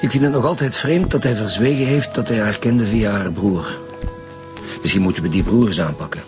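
A dogged middle-aged detective acted by a man in a Flemish-accented radio drama. His low voice is blunt and commanding, with music clearly playing behind it. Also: a little fast, lightly acted. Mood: wry, decisive. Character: dogged, brisk male lead detective of a homicide squad.

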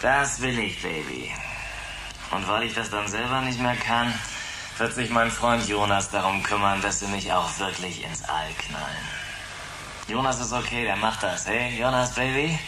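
A man's voice: fairly low pitch, moderate pace, noisy background, lightly acted. A man playing a melancholic, drugged rock musician in a sci-fi radio drama, drawling in hipster slang peppered with English. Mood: resigned, mellow.

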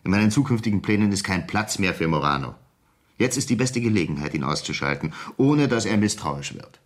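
A man's voice: lowish voice, animated, fairly quick. An actor playing a cold, greedy, imperious businessman in a 1970s German radio crime play. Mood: scheming, assured. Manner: imperious, curt, commanding. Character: cold, greedy, domineering businessman running a drug scheme.

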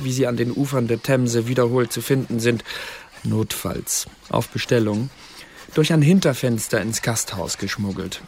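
Actor as an officious secret-service bureaucrat, faint noise. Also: male voice, medium pitch, close to an everyday voice. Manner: formal, precise, officious. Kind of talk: radio drama, dictated official report.

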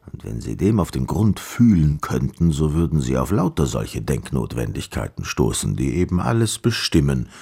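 An actor playing a nervous, condescending young mathematics professor in a German radio play, lecturing in a halting, evasive way. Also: male voice, extremely low pitch, gravelly, darkish tone, breathy edge, exaggerated voice. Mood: patronizing lecturing. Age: young adult. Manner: halting, lecturing, swinging emphatically.

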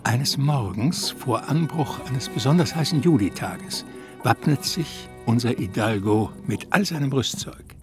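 An actor playing the storyteller of a knightly adventure, reading literary scripted narration in a radio play. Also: male voice, medium pitch, lively intonation, unhurried, close to an everyday voice. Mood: measured storytelling. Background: music.

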